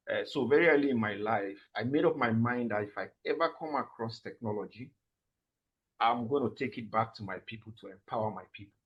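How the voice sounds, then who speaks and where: A man from Nigeria answering interview questions in a measured way, with frequent filler sounds. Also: lowish voice, slightly rough, dull audio. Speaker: guest, summit president.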